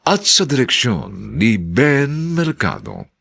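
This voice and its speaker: A man with a Filipino accent announcing a radio drama in a formal, theatrical, courteous and measured scripted style. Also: medium pitch, huge pitch swings, gravelly, a bit bright.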